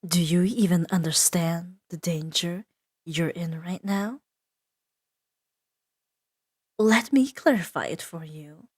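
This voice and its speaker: A woman voicing a seductive, menacing and playful female demon in a roleplay ASMR audio drama, teasing and imperious, drifting into breathy whispers. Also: on the low side, measured pace.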